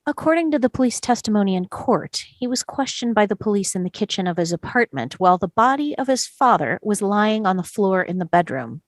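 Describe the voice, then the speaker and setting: Woman as a calm, probing juror, dry close sound. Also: medium pitch, dry recording, close to an everyday voice. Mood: persistent questioning. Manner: measured, questioning, courteous. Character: calm, persistent male juror, courteous and probing. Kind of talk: amateur table read of a stage drama.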